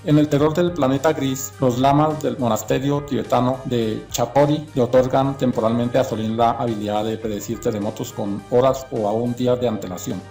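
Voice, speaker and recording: A man's voice: medium pitch, steady tone, normal speed, hardly any pauses, a little mellow, faint music behind. A man reading scripted documentary narration.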